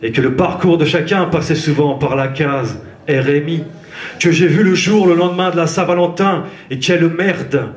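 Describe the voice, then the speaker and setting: A man from France delivers slam poetry live on a theatre stage, his rhythmic lines pressed out with intensity. His voice sits fairly high for a man. The sound carries the roomy echo of the hall. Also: normal speed. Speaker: slam poet.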